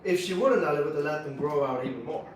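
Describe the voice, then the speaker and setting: A man with a Swiss accent co-hosting a casual bonsai livestream, explaining techniques in a relaxed, practical way with lots of fillers. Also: fairly high pitch, fast, very noisy. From Switzerland. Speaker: bonsai nursery co-host. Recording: echoey room, mic at a distance.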